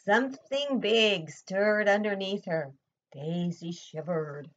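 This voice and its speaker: A woman's voice: mid-range voice, slow pace, muffled recording. An older woman, a preschool teacher, reading a picture book expressively to young children and asking simple questions, recorded indoors at home. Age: older, 60s or above.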